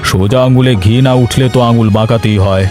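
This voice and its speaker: A man's voice: on the low side, fairly even intonation, quick pace, lightly acted. A man playing a scheming, lecherous village headman in a cartoon, wheedling and menacing. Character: scheming, lecherous widowed village headman, a bullying land-grabber. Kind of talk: Bengali cartoon voice acting. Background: music.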